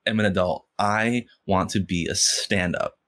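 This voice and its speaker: A podcast guest with a stutter speaks in a lowish voice, repeating sounds and filling pauses with "like" and "you know". He talks reflectively in a relaxed conversation. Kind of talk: casual interview chat.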